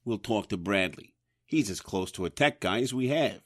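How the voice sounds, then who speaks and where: A man's voice: on the low side, animated, moderate pace, fairly bright tone. An actor playing a blunt, no-nonsense older man, a loyal prodding friend in an audio drama. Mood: blunt, urging.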